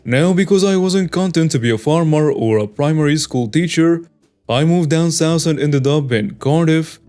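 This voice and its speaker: A man reading online stories aloud in a steady, scripted narration. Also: on the high side. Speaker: story narrator. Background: faint background music.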